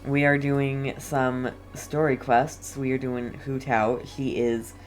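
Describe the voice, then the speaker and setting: Casting: chatty, teasing livestream gamer, low-pitched and unhurried, game music underneath. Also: flat delivery. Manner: chatty, casual, lightly teasing. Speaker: game streamer. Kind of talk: casual livestream gameplay commentary.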